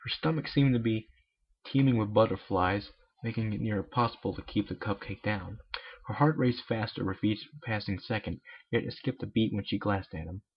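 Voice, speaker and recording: A man's voice: on the low side, moderate pace, slightly rough. A man reading fan fiction aloud in an informal, irreverent way with joking asides, on a muffled home recording.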